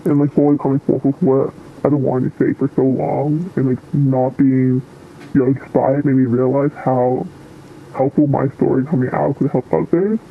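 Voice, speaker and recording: A teenage guest answering interview questions hesitantly, with many ums and likes. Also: male voice, mid-range voice, little pitch movement, normal speed, creaky. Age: teens. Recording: tinny phone-like line with faint noise.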